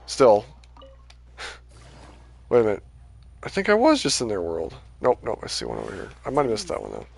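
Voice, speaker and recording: A man's voice: mid-range voice, huge pitch swings, normal speed, vocal fry, long pauses. A man giving casual, rambling gameplay commentary on a livestream, his voice starting to tire. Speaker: game livestreamer.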